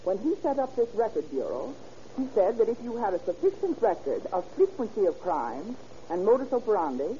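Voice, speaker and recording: Actress playing self-assured police clerk, American woman, mellow, lively intonation, brisk explainer, vintage radio drama, dull lo-fi sound. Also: medium pitch, lightly acted. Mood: eager, assured. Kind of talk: old-time American radio drama acting. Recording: muffled, noisy old radio recording.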